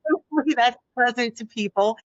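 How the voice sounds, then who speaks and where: A woman's voice: on the high side, no echo. Soothing Philly-accented woman, lo-fi sound. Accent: Philadelphia. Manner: earnest, encouraging. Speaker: guest. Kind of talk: podcast interview. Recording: dull, dry lo-fi audio.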